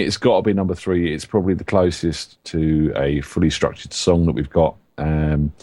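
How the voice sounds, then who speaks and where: A man's voice: sits low, a little mellow, no echo. A man on a music review panel giving blunt verdicts with wry humor.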